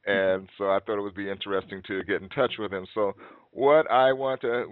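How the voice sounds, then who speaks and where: A man hosting an interview, introducing his guest and explaining things for listeners in a friendly, deliberate way. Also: low voice, dry recording. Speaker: host. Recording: dry, tinny phone-like sound.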